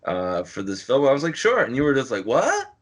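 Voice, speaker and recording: A man's voice: mid-range voice, wildly swooping pitch. Young guy on a call, lots of ums, chuckles while remembering stuff. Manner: halting, many fillers, chuckling. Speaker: fan film creator. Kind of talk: casual chat on a video call.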